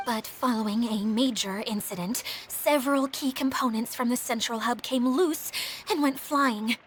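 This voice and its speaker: A woman's voice: fairly high pitch, moderate pace, soft background music, close to an everyday voice, low-quality recording. A woman voicing a timid, formal, easily flustered mascot caretaker in a video game, hesitant and apologetic. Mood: earnest, polite. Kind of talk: video game character dialogue.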